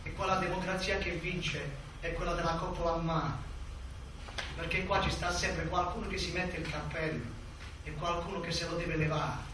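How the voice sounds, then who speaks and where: A man's voice: fairly high pitch, steady tone, moderate pace, close to an everyday voice. An actor playing a bitter, scornful village man with a southern Italian accent, ranting sardonically in a stage play. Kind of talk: buffoonish stage theatre rant. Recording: very echoey, distant, noisy stage.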